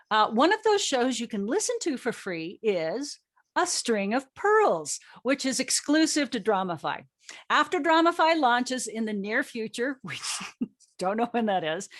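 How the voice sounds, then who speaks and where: A woman moderating a panel, giving a scripted introduction with joking, self-deprecating asides and theatrical emphasis. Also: fairly high pitch.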